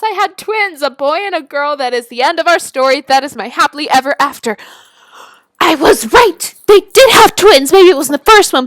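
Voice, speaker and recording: High-voiced young woman reading aloud. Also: no echo. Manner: chatty, expressive, giggly asides. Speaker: YouTuber reading and reacting to fan fiction. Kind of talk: casual read-aloud with reactions and asides.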